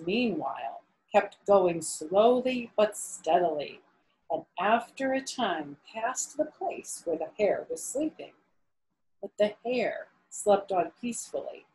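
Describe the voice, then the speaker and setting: A woman's voice: medium pitch, a little mellow, poor audio. A middle-aged woman reading and explaining a story to children, using simple explanations and questions for young listeners. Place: indoors, small living room on a sofa.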